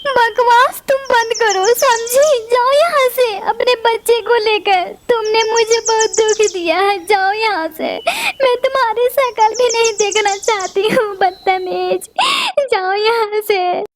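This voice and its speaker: A woman's voice: extremely high pitch, a little slow, background noise. A woman voicing a sorrowful female sparrow in a cartoon who longs for a child and pleads through tears. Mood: angry, tearful. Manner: pleading, sobbing. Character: sad childless female sparrow, tearful and quick to anger. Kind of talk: cartoon voice acting.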